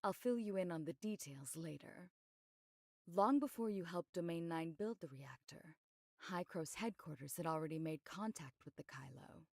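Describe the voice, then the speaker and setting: A voice actress playing a composed, discreet female investigator in an anime game, measured and matter-of-fact as she explains. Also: lowish voice, lively intonation, dry recording, studio quality. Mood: measured, explanatory. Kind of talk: scripted anime game voice acting.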